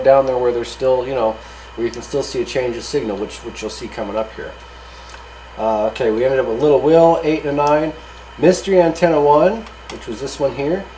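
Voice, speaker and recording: A man's voice: mid-range voice, darkish tone, slight background noise, rough recording. A man hosting a hobby gear review, giving a casual, unscripted rundown in a rambling, matter-of-fact way. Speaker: hobbyist video host reviewing radio gear.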